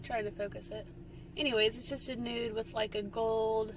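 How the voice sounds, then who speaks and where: Young woman vlogging over clear car noise. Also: on the high side, steady tone, moderate pace. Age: young adult. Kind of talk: casual chat to camera. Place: inside a car. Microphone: phone at arm's length. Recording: noisy car interior, phone recording.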